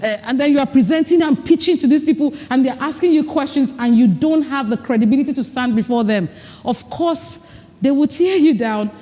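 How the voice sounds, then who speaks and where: A woman gives a workshop talk in a large hall, direct and coaching, sometimes acting out little dialogues. Her voice sits fairly high with a mellow, warm tone. A long reverb trails after each phrase. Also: fairly quick. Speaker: speaker at a women's leadership retreat. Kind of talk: live workshop talk with breathing exercises. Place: large event hall with an audience. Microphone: recording mic, not amplified.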